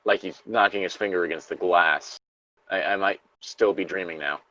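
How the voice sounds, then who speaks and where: A man's voice: deep voice, normal speed, pushed and bright, highs cut off, a little hiss or hum. A man co-hosting a story-reading show, switching between informal, sweary banter and reading horror stories aloud. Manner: informal, profane.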